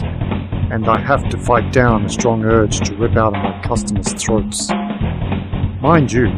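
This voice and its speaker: A man acts as a werewolf private eye with a mid-pitched male voice, telling his story over music. He is dry, sardonic and world-weary, in the manner of noir radio drama. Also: natural voice. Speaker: narrator and lead. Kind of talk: first-person noir radio drama narration.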